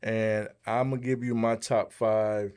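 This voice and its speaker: A man's voice: fairly low pitch, flat delivery, measured pace, a little mellow, clear, resonant tone. A man co-hosting a podcast in a casual, colloquial, motivational way. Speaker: podcast co-host. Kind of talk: casual podcast chat and questions.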